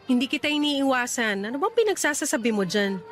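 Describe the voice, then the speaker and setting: Radio drama heroine played by a woman: young, very high voice, curt, irritated, firmly turning a suitor away. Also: big pitch swings, brisk. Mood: curt, defensive. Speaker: voice actor in a radio-style love story. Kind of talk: Filipino radio drama acting.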